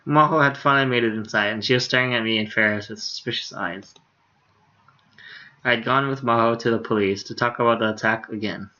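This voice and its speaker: A male streamer with a medium-pitched voice and slightly dull audio. He reads game dialogue aloud informally and drops amused asides between lines. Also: brisk, bright, forceful tone.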